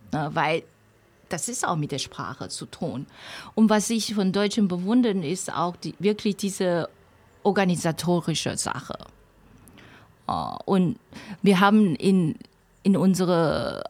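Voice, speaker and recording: Middle aged taiwanese lady, chinese accent, native chinese speaker, lowish voice, dry close recording, feels for her words in an interview. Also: a little mellow, no echo. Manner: non-native grammar, hesitant searching phrasing. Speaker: interviewee, language professor. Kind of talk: reflective interview answers in conversational speech.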